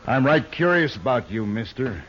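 An actor playing a menacing, suspicious backwoods outlaw with an American drawl and folksy, deliberate threats in a 1950s radio western. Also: male voice, mid-range voice, very animated, a bit bright. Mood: threatening. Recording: muffled, noisy old 1950s radio recording.

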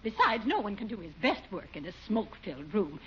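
An actress playing a prim, relentlessly efficient secretary in a 1940s radio sitcom, lecturing and correcting in a brisk way. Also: female voice, medium pitch, sing-song, natural voice. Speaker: secretary character. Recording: muffled 1940s radio broadcast, faint hiss.

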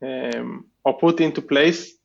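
A man's voice: medium pitch, takes it slowly, gravelly, muffled recording. A man from Israel, a guest entrepreneur, chatting casually and thoughtfully with many restarts in an interview.

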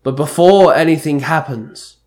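A man voicing a grave, commanding ancient spirit god in a story reading, with slow, portentous delivery. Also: fairly high pitch, darkish tone, lightly acted. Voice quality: bellowing. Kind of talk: amateur fan-fiction story reading.

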